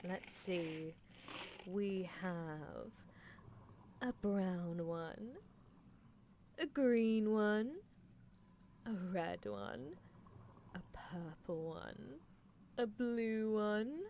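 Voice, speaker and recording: A woman acts out a possessive female mad scientist for an ASMR roleplay. She speaks slowly and theatrically at a medium pitch, coaxing and teasing with cool disregard for ethics. A faint noise sits behind the voice. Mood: playful, musing. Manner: languid, theatrical, coaxing, with soft chuckles. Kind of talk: live ASMR character roleplay.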